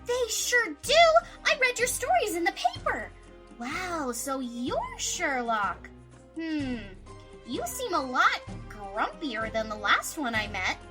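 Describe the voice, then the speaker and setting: A woman voicing a bubbly, playful, excitable young female pony in a cartoon audio drama, with a chirpy, theatrical manner. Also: sits high, slow pace, music in the background, exaggerated voice. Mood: chatty.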